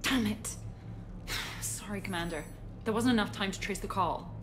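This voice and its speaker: An actress playing an eager, anxious junior military officer in a video game, earnest and fretful. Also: female voice, mid-range voice, normal speed, music in the background, lightly acted. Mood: apologetic, hopeful. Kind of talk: video game cutscene dialogue.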